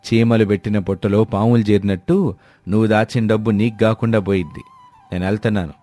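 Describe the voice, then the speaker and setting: Deep-voiced indignant older landlord played by a man. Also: steady tone, a little fast, dark tone, lightly acted. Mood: indignant scolding. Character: older male landlord uncle, hungry, blunt, indignant. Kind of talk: dramatised Telugu moral story acting.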